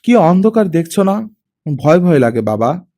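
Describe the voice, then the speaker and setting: Man voicing a playful, lively young daughter. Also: high voice, moderate pace, pitched above the performer's own voice. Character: playful, teasing young daughter chatting with her father.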